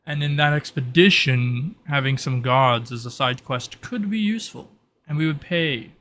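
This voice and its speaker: A man's voice: mid-range voice, moderate pace, fairly bright tone. A man running a tabletop roleplay as game master, improvising narration and talking with his players.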